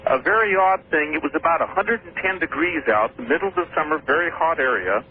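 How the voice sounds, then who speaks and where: A man's voice: fairly high pitch, expressive, quick pace. A man from Washington State calling into a radio show, hesitant and repeating his words. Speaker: caller. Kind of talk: radio call-in story. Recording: tinny phone line with faint noise.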